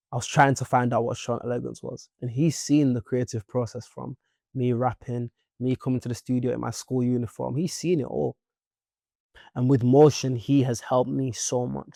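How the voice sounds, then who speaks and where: A young man from Leeds with a Leeds accent, a musician guest chatting in a playful, animated interview. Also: mid-range voice, creaky, no echo, clean studio sound. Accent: Leeds English. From Leeds, England. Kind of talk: casual interview chat.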